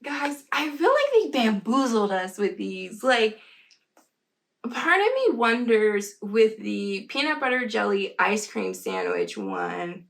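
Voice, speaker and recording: Female vlogger, mid-pitched with noticeable vocal fry, rambling and conversational, casual storytelling review delivery. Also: huge pitch swings, takes it slowly, fairly bright tone.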